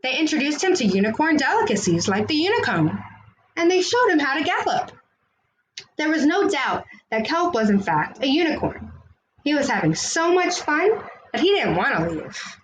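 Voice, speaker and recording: Expressive woman reading a children's picture book. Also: high-pitched, slight background noise, rough recording. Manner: expressive storytelling with drawn-out dramatic phrasing. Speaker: read-aloud host.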